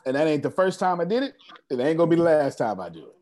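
Male business coach, bragging and preachy on a video call, high voice that swings a lot. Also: sing-song, quick pace, darkish tone. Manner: boastful, preachy, calls on attendees by name. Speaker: business coach hosting an online meeting. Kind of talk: animated motivational group call.

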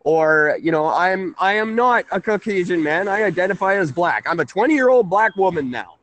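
A man's voice: high-pitched, expressive, moderate pace, a bit bright. A man in his thirties hosting a casual call-in radio talk show, rambling and correcting himself. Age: 30s. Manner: rambling, chuckling, self-correcting.

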